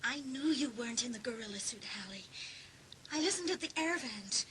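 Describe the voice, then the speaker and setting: Resentful, unhinged teen girl for horror audiobook; piercing bright tone, volatile melodrama, faint noise behind. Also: fairly high pitch, lively intonation, fairly quick, poor audio. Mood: menacing. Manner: melodramatic, swinging from hissed whispers to shrieks. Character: unhinged, bitterly resentful teenage girl, vengeful and hysterical. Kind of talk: dramatised audiobook acting.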